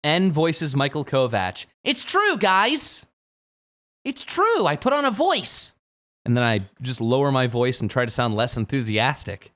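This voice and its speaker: A man in his twenties, a game streamer, chatting jokily on a late-night livestream and jumping from low mumbles to sudden shouts. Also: very animated, a little slow. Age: 20s. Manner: playful, jokey, swings from mumbling to sudden shouts.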